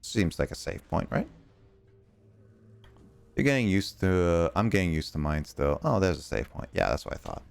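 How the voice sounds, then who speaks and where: A young American man streaming games, talking casually in halting, rambling bursts full of fillers and restarts. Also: low voice, big pitch swings, creaky, clean tone, no echo, soft background music. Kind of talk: casual chat while gaming.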